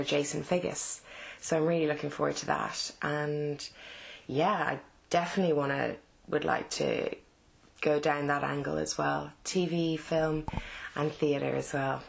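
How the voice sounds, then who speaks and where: Woman, Dublin Irish accent, low voice, halting and casual, fillers and restarts. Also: creaky. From Ireland. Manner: halting, many fillers and restarts, laughs between thoughts. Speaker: actress and interview guest. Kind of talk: casual interview answers.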